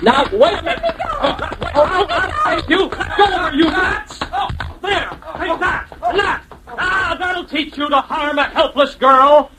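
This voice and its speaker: A man's voice: very high-pitched, sing-song, slow pace, a loud crowd, very noisy, heavily acted. An actor playing a timid, flustered young man blustering as a reluctant hero in a radio drama. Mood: frantic, blustering. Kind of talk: old-time radio drama acting.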